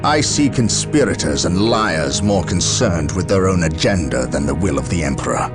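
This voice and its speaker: A man playing a stern, burdened space marine captain in a video game, speaking gravely and formally. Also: sits low, expressive, normal speed, over music. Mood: accusing. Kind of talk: dramatic video game acting.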